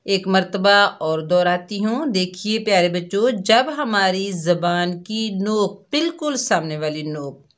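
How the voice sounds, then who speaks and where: A woman with a Pakistani Urdu accent teaches children in an unhurried classroom manner. She repeats herself patiently and draws out the sounds she demonstrates, at a steady middle pitch. Her delivery stays slow and deliberate throughout. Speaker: Quran recitation teacher.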